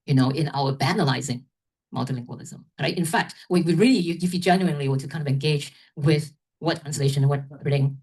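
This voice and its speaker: A woman's voice: deep voice, fast, a little mellow, husky. A woman moderating an academic event's discussion, rambling and often checking in with "right?". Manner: rambling, tags remarks with "right?".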